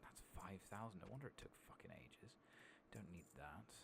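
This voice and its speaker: A man doing soft-spoken ASMR commentary over a game, casually rambling and thinking aloud with the odd swear. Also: low voice, expressive, moderate pace, creaky, noisy background. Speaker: gaming streamer.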